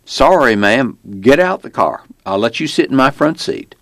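A man voicing a belligerent, awkward rural cop in an audiobook, loose diction and a folksy, halting delivery. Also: mid-range voice, big pitch swings, no room sound, pitched above the performer's own voice, lightly acted. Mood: smug, insistent. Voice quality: slurred, far from crisp diction.

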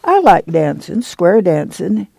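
A naive young woman in a storybook, voiced by a man. The delivery is soft, shy and guarded, half mumbled. The tone is mellow and close, with a dry recording. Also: a little high, unhurried, gravelly, no room sound. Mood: guarded, virtuous.

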